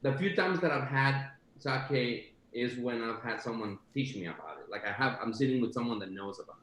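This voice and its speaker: A man's voice: medium pitch, normal speed, fairly bright tone. Echoey Costa Rican man, enthusiastic. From Costa Rica. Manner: enthusiastic, descriptive, many fillers. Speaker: chef guest. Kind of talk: casual video-call chat.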